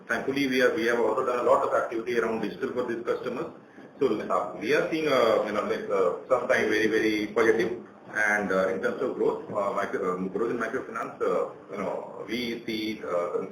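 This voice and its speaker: A man with an Indian accent answering as a bank executive on an earnings call, halting and repeating his words. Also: fairly low pitch. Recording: echoey, distant, faintly noisy conference line.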